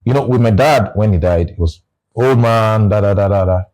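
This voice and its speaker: A man's voice: on the low side, moderate pace, a little mellow, rough recording. A Nigerian man in his forties with a Nigerian English accent, speaking as an interview guest and reflecting aloud in casual conversation.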